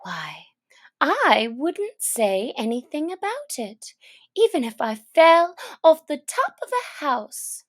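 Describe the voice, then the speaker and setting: Chatty curious young girl a lady reads out, musing to herself, high and unhurried. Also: very animated, exaggerated voice. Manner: thoughtful, wondering musing to herself. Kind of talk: storybook character voice.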